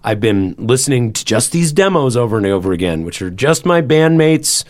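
A man in his thirties with a loud, carrying voice, co-hosting a podcast and chatting casually with lots of "you know" and "like". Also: mid-range voice, animated, moderate pace. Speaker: podcast host and band member.

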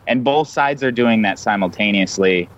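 A man's voice: on the low side, normal speed, lo-fi sound, a little hiss or hum. A man chatting casually as a podcast guest, agreeable and informal, often padding sentences with like. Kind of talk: casual chat.

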